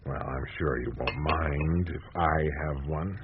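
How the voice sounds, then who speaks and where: An actor playing a world-weary, hard-drinking newspaperman in a radio drama, husky and wry. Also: rumbling low, unhurried, heavy background noise. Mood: tipsy, wry. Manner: wry, sardonic. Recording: dull, lo-fi radio recording.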